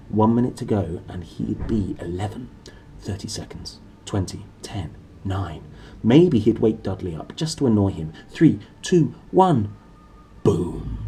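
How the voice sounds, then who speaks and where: A man's voice: on the low side, dark tone, a little hiss or hum. A man reading a story aloud in a theatrical, playful and dramatic way. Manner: theatrical, playful, self-correcting. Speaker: storybook reader. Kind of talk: dramatic, expressive storybook narration.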